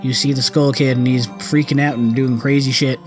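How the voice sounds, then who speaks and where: A man's voice: medium pitch, steady tone, moderate pace. Man rambling through casual gaming commentary over music. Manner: rambling, loose, slangy, self-correcting. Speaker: gaming video host. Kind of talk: casual let's-play commentary. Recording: game music behind the voice. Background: video game music.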